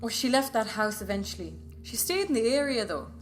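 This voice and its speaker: An actress playing an older Irish mother, reminiscing in an unhurried, matter-of-fact conversational way in an audio drama. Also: fairly high pitch, sing-song. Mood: casual, reminiscing. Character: older Irish mother recalling local gossip.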